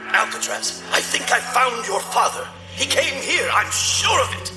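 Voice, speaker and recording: High-pitched, echoing, flustered old grandfather. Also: expressive, measured pace. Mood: frantic, excited. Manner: agitated, exclaiming in broken bursts. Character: flustered, excitable old grandfather, eccentric adventurer. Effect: distant echoing magical transmission. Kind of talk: audio drama acting. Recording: echoing distant voice under loud music.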